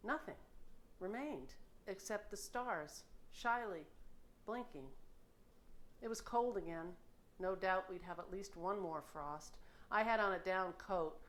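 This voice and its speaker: A woman's voice: medium pitch, slow, fairly bright tone. A middle-aged woman with an American accent reads her own personal memoir as an author, in a reflective, informal storytelling manner, recorded in a home kitchen. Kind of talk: personal memoir audiobook narration.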